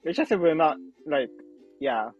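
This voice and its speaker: A man's voice: a little high, lively intonation, normal speed, lo-fi sound. Man in his twenties, from Japan, easygoing podcast co-host, short replies, faint music behind. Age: 20s. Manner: laid-back, laughs often, short replies. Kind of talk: casual chat.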